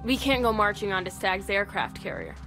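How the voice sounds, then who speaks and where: A woman's voice: mid-range voice, wildly swooping pitch, brisk, vocal fry, music in the background. An actress playing a poised, sardonic woman gangster in a video game, dry and sarcastic. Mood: sceptical.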